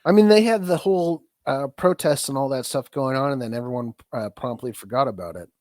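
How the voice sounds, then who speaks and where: A man co-hosting a podcast in casual chat, a bit stuffed up from a cold, talking in halting bursts with many restarts and easy laughs. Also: medium pitch, darkish tone, no room sound, clean studio sound. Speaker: podcast co-host.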